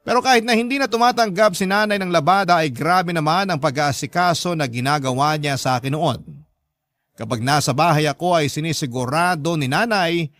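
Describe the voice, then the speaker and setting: A man with a fairly high voice narrates a scripted radio drama story in the first person. His delivery is measured and sentimental, recorded very dry and close. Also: a bit bright, dry recording.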